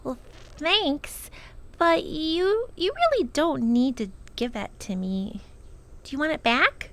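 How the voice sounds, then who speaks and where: A woman voicing an earnest, imaginative young girl from a storybook, sweet, eager and excitable. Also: sits high, takes it slowly, voice pushed up, full character voice. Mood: surprised, fond.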